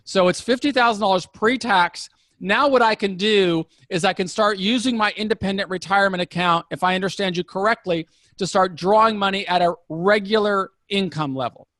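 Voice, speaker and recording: A man in his fifties asking questions in a conversational interview, inquisitive and deliberate, restating points to confirm them. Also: high voice, fairly bright tone, no room sound. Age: 50s. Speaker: interviewer asking about retirement investing.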